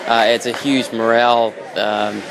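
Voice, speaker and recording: A man giving casual live hockey analysis on radio in a wry, informal, halting way. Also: medium pitch, level tone. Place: indoor ice rink broadcast position. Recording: loud crowd and rink noise behind. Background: hockey crowd and rink ambience.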